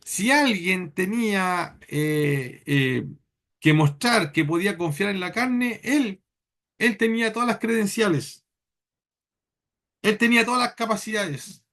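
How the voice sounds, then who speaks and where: A man from Chile, preaching a sermon in church in an earnest, halting way with many repeated words. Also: sits high, expressive, a bit bright.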